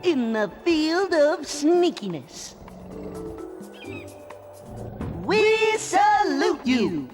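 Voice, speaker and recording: A mischievous little possum sidekick for a cartoon game. His voice is high and animated, drawing words out slowly with mock solemnity. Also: music in the background, exaggerated voice. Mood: grandly eager. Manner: mock-serious, drawn-out, eager. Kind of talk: animated game cutscene acting.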